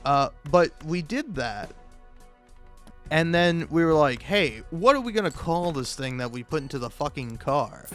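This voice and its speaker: A man with a slightly high voice rambles through a casual comic monologue over faint music. He swears freely and trips over his words, repeating bits and breaking off mid-sentence to start again. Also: sing-song. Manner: profane, halting, self-interrupting with stammered repeats; chuckles often while talking. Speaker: host of a comedic video.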